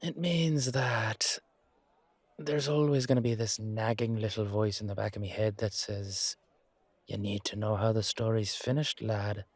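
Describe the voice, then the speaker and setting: A man playing a weary, down-to-earth knight guardsman in a fantasy audio drama, musing colloquially to his partner with hesitant pauses. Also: lowish voice, little pitch movement, no room sound. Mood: resigned, intrigued. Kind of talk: roleplay audio drama monologue addressed to a partner.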